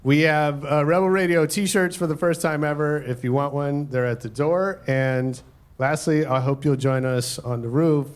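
A man's voice: a little high, fairly even intonation, moderate pace, clean tone. A man hosting a live podcast at a hotel event, casual and joking, with lots of ums and uhs. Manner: casual, filler-heavy, joking. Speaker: podcast host.

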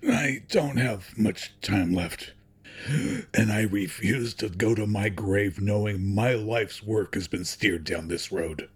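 Male actor for dying elderly patriarch in audio drama. Low, badly husky voice, grave and dignified delivery. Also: heavily acted. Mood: solemn resolve. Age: older. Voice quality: husky, breathy, with a cough. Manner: grave, formal, deliberate speech. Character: dying old company chairman and father, solemn, remorseful.

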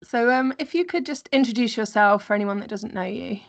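A woman's voice: a little high, a little fast, creaky. A woman hosting a travel podcast, reading a scripted welcome and then interviewing her guest with short, friendly questions. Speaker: podcast host.

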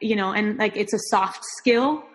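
A woman's voice: mid-range voice, normal speed. Woman from Miami, first language Spanish, casual interview guest, thoughtful and restarting often, reverberant room. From Miami, Florida, US. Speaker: guest, performing artist.

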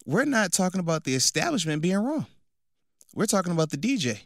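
A man's voice: high voice, very animated, a little fast, a bit bright, dry recording, studio quality. A man in his thirties, a former football player co-hosting a casual sports radio show, bantering playfully and repeating phrases for emphasis.

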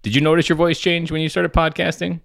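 A man with a baritone voice co-hosting a podcast, curious and prone to rambling tangents. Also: level tone, fast, gravelly, fairly bright tone, dry recording, clean studio sound. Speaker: podcast co-host. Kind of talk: casual podcast chat.

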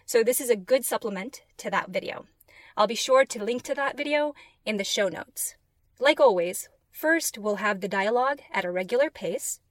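Female podcast host, enthusiastic and clear, dry close-miked sound. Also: medium pitch, fairly bright tone, no echo. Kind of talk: language lesson podcast.

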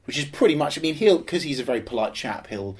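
A man's voice: fairly high pitch, expressive, quick pace. Opinionated man on a football podcast. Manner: opinionated, emphatic, rambling with restarts. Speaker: podcast co-host. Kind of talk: casual football chat.

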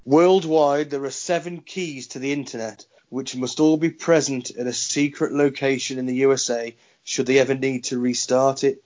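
Bloke reading weird facts deadpan, mid voice, bit dull lo-fi mic. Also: male voice, mid-range voice, normal speed, few pauses. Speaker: podcast co-host. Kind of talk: reading out odd facts, casual chat. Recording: dull lo-fi sound.

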